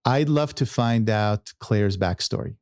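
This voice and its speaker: Low-voiced earnest male podcast host. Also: a little slow, darkish tone, no room sound, clean studio sound. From born in Israel, raised in New York. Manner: earnest, leading questions, says "right?" often. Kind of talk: interview hosting.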